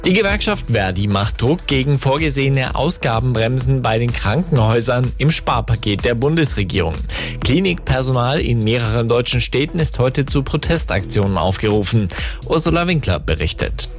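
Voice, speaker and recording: A man reads the hourly news on radio, formal and evenly measured. His voice sits in an ordinary middle pitch. A faint music bed plays beneath him. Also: lively intonation. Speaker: newsreader. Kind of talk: read news bulletin.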